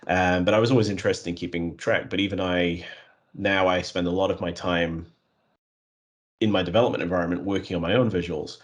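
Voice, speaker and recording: Low low voice guy, pretty monotone, lots of ums and restarts, just chatting about his work. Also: a little fast. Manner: self-described flat, monotonous voice; many fillers and restarts. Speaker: guest, custom visual developer. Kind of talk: conversational interview.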